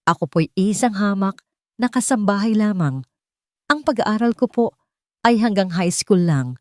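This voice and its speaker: A woman's voice: mid-range voice, animated, unhurried, no echo, studio quality, pitched above the performer's own voice, natural voice. A woman narrator with a Filipino accent voicing a shy, deferential elderly housemaid in an audiobook story. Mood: humble, reluctant. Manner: deferential, self-effacing.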